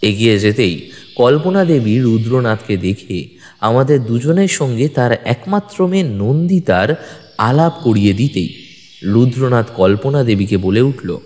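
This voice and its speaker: A man's voice: medium pitch, expressive, a little slow, darkish tone. A man narrating a scripted detective story in the first person with a dramatic storytelling delivery. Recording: long reverb with music bed. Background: background music.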